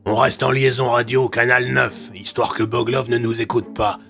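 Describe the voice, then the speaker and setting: Man playing a brisk squad leader. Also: mid-range voice, fairly even intonation, fairly bright tone, faint music behind, close to an everyday voice. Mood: businesslike.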